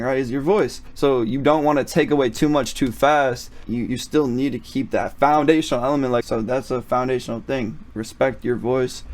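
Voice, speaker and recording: Casual man with a thin, raspy voice. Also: mid-range voice, darkish tone. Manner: slangy, profane, often checks with "right?". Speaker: music producer giving mixing tutorial. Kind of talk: casual tutorial talk.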